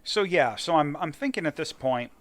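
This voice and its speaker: A man's voice: on the high side, lively intonation, moderate pace, dry recording. A man giving casual let's play commentary, thinking aloud and deliberating as he hosts a gaming video.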